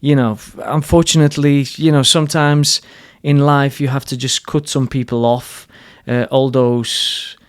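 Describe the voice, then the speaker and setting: A podcast host speaks English with a Slovak accent at a mid pitch. He talks bluntly and earnestly, often restarting his phrases, and he is not shy about swearing. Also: little pitch movement, moderate pace, hardly any pauses, clean tone. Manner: blunt and earnest, frequent restarts, salty language. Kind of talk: casual interview conversation.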